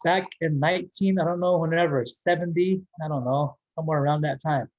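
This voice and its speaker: A man's voice: on the high side, fairly quick, a little mellow, lo-fi sound. A man from the Navajo Nation in New Mexico, giving a casual motivational talk as guest speaker, joking and laughing often.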